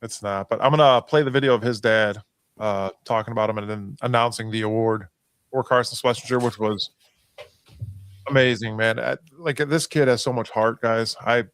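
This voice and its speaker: Sports podcast host, talks up players with lots of emphasis, repeats himself, dry close mic. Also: male voice, mid-range voice, steady tone, normal speed, a bit bright, clean tone, no echo. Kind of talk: casual sports chat. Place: podcast studio.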